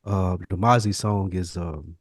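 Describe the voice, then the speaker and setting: A man with a fairly low voice co-hosts a casual podcast. He talks in a slangy, conversational way, and the sound is very dry with no room around it. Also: unhurried, no echo. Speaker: podcast co-host.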